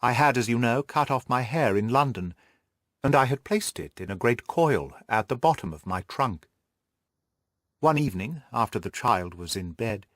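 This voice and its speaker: A man's voice: mid-range voice, fairly bright tone, no echo, close to an everyday voice. A man narrates as a curious, observant young governess in a Victorian audiobook story. The delivery is earnest and formal, rising and falling expressively. The sound is dry, with no room around it. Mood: puzzled.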